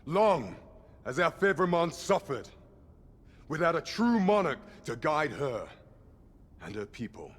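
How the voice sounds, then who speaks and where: A man's voice: a little high, sing-song, unhurried, pauses often, breathy edge, full character voice. A man playing a grandiose court herald in a fantasy game, making a formal, declamatory ceremonial proclamation. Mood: solemn, grand. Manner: pompous, declamatory, formal archaic diction. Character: grandiose male court herald addressing nobles. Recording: long hall reverb, loud music behind.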